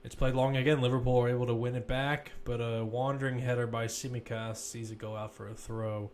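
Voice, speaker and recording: A man giving live play-by-play football commentary as a commentator, measured but lifting when attacks build. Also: mid-range voice, level tone, darkish tone.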